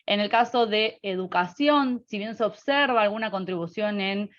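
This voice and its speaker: An Argentine woman giving a webinar presentation in a halting way, often hesitating and repeating words. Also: medium pitch. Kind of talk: presentation with slides. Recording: slightly dull webinar audio.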